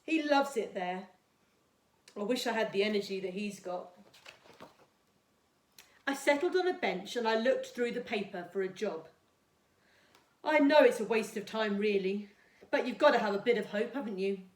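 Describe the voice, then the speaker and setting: A woman's voice: mid-range voice, lively intonation, normal speed, long pauses, close to an everyday voice. A woman voicing a glum, resigned working-class father who is out of work, in a storybook. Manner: glum, resigned, plain-spoken. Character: weary, downhearted jobless working-class father. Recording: echoey, roomy sound.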